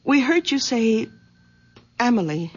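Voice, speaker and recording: A woman playing a protective, gently dismissive grown daughter, careful and placating, in a radio drama. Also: a little high, sing-song, slow, heavily acted. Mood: solicitous, probing. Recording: dull old radio broadcast recording.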